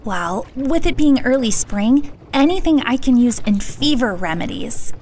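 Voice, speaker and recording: Actress as friendly village healer, concerned, higher voice, conversational, fantasy audio drama, faint ambient noise. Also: female voice, animated, measured pace, a little mellow. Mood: encouraging.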